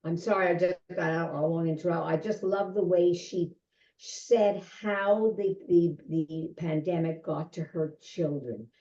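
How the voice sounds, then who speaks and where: A woman's voice: fairly low pitch, measured pace, dark tone, dull audio. Gushing woman on an echoey video call. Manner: enthusiastic, gushing. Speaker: book club member. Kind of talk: casual group discussion.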